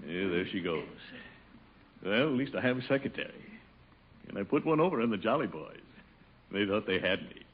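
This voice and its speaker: An actor with an American accent playing a pompous, self-satisfied, easily exasperated boss in a theatrical 1950s radio comedy style. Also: male voice, lowish voice, lively intonation, a little fast, pauses often, lightly acted. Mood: smug. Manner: blustery, theatrical, muttering to himself. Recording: muffled, noisy 1950 radio recording.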